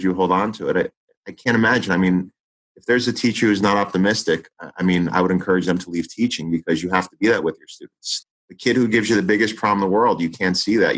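A man's voice: deep voice, a bit bright, poor audio. A man in his forties, a teacher, talking earnestly and reflectively in an interview, often restarting his sentences. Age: 40s. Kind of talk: interview conversation.

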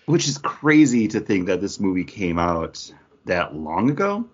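A man co-hosting a podcast in a casual, chatty style, with a husky voice. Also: deep voice, very animated, unhurried. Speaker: podcast co-host. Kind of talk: casual chat. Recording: dull lo-fi audio.

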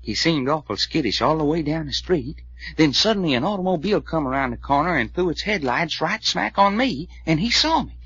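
Lively folksy sidekick. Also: male voice, a little high, fairly quick, breathy edge, no echo, full character voice. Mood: animated storytelling. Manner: colloquial, drawling storyteller. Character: Doc, folksy, excitable male adventurer sidekick. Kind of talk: old-time radio serial acting. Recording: dull, dry old radio recording.

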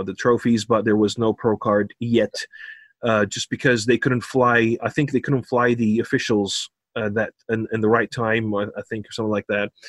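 A man with an American accent, a bodybuilding coach talking as a podcast guest in a relaxed way, laughing often and repeating words. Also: lowish voice, dry recording. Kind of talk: casual podcast interview.

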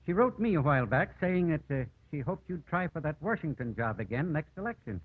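Encouraging small-town judge, 1950s radio drama, animated, raspy-soft, thin old recording. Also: male voice, on the high side, brisk, dry recording, narrow, tinny audio. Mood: kindly. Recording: thin, band-limited vintage radio recording.

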